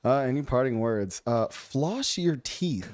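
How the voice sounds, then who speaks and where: A man's voice: medium pitch, animated, unhurried, darkish tone, no room sound, clean studio sound. A man in casual, joking conversation, with a playful way of talking.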